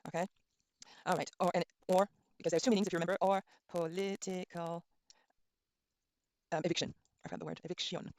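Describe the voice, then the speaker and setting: A woman's voice: lowish voice, level tone, fairly quick, long pauses, darkish tone, no room sound, studio quality. Chatty woman teaching a live online lesson. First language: Norwegian. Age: middle-aged. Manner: chatty, spells words letter by letter, frequent ums. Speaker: language teacher streaming a class. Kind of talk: casual online lesson, thinking aloud while browsing.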